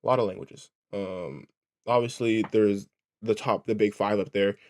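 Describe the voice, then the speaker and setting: A man's voice: fairly low pitch, fairly even intonation, normal speed, a little mellow. Rambling, slangy man reacting to a video. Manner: rambling, restarts, keeps asking "right?" and "you know what I'm saying?"; swears casually, slangy. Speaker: reaction streamer. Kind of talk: casual rambling reaction commentary.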